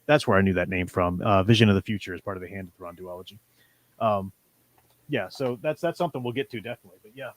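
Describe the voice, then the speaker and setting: A man's voice: sits low, fast. A man hosting a casual livestream book discussion, talking in an enthusiastic, conversational way. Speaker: show host reading chat messages.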